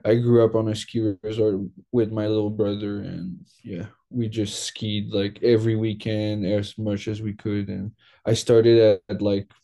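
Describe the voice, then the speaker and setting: Young guy from quebec, low flat voice, says like a lot, super casual. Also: unhurried, gravelly. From Quebec, Canada. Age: young adult. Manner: loose, filler-heavy, lots of "like". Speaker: guest. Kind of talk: casual interview.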